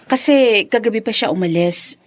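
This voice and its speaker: A woman's voice: medium pitch, normal speed, dry recording, close to an everyday voice. An actress playing an anxious, doting young mother in a radio drama, with a tender, fretful manner. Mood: apologetic, worried. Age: young adult.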